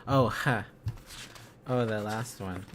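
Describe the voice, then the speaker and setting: A man's voice: fairly low pitch, slow, a little hiss or hum. A man livestreaming in a casual, playful way, thinking aloud as he chats to viewers. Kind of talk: casual livestream study chat.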